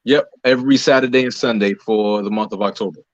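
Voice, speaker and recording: An American man answering interview questions conversationally as a guest, explaining in a measured way with pauses between phrases. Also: fairly low pitch, no echo, highs cut off. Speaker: playwright, director and actor interviewed as guest.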